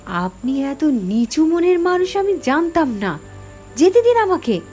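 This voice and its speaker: A woman plays the ghost of a wronged young schoolteacher in a horror cartoon. Her voice is high and swings expressively as she narrates her tragic story with feeling. Soft music runs underneath. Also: sing-song, a little slow. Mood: indignant pleading. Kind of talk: dramatic first-person horror story narration.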